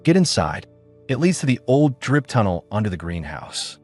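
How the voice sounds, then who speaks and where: Narrator guy doing a gruff loner mechanic, short blunt lines, deep voice. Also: big pitch swings, normal speed, darkish tone, soft background music.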